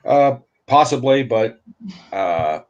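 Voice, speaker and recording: A man, a guest on a livestream, explaining engine work in a relaxed way with lots of fillers. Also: mid-range voice, slow, dull audio. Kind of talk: casual technical chat.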